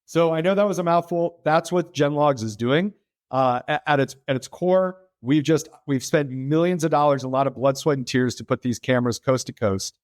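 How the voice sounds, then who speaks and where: A man's voice: on the high side, moderate pace, no room sound, clean studio sound. A middle-aged American man, a podcast guest, explaining his business in a casual interview with many fillers and restarts. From United States. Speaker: podcast guest, freight tech founder.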